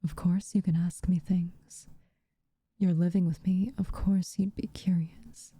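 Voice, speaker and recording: Woman acting as a hushed, mellow, aloof witch. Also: fairly low pitch, level tone, dry recording. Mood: gently instructing. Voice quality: soft, breathy, often near a whisper. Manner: unhurried, hushed, dryly teasing. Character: aloof, teasing, secretly caring young witch woman. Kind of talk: ASMR roleplay, soft intimate character acting.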